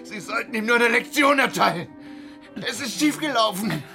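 A man's voice: extremely high pitch, expressive, moderate pace, creaky, bright, forceful tone, soft background music, full character voice. A man playing a hard, defiant Soviet secret-service officer under threat in a radio drama, answering in clipped, grudging phrases. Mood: strained, angry.

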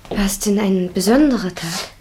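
An actress playing a timid, guarded and watchful woman in a German radio drama, answering in terse, hesitant, hushed phrases. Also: mid-range voice, moderate pace, slight background noise, lightly acted.

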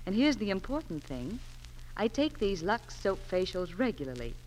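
A woman's voice: medium pitch, very animated, normal speed, a little mellow. A woman giving scripted beauty and fashion advice as a 1940s radio spokeswoman. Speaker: fashion reporter and sponsor spokeswoman. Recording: reverberant, noisy old 1940s radio recording.

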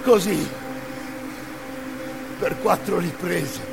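A man performing an intense theatrical monologue, narrating in emphatic short phrases with a hoarse, gravelly voice. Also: sits high, very slow, over music. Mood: shouted. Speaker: stage actor narrating a monologue. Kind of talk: dramatic second-person theatrical narration.

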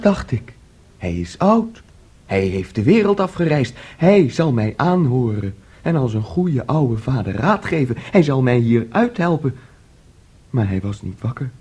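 An actor playing an anxious, boyish young man, naive and boastful, with an agitated, halting delivery in a Dutch radio play. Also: medium pitch, darkish tone, husky. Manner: agitated, halting, repeating himself.

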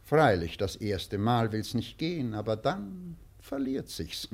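An actor playing an earnest, courteous poet in a German radio play, speaking in a polite, measured, lyrical way. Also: male voice, medium pitch, big pitch swings, gravelly, full character voice. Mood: earnest, reminiscing. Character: earnest, courteous male poet with a vagabond past.

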